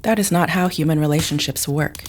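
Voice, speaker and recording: A woman plays a psychic mother with a low voice. She is rational and emotionally restrained, choosing formal, precise words in a measured manner, as in a science fiction audio drama. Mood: reserved, explaining.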